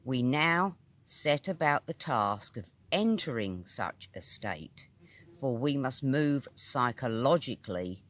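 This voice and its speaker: A British man narrates a written teaching at a slow, deliberate pace. His pitch moves expressively up and down through each thought. He pauses between short phrases and sounds instructive throughout. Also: expressive, fairly bright tone. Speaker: audiobook reader. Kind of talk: scripted narration of a metaphysical article.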